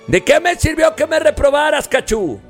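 A man's voice: very high-pitched, sing-song, normal speed, soft background music. A man with a Mexican accent hosting a morning show, bantering and joking with his co-hosts. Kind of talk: casual chat.

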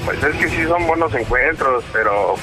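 Man calling in over loud music. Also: medium pitch, expressive, moderate pace. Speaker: radio caller. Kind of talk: casual chat.

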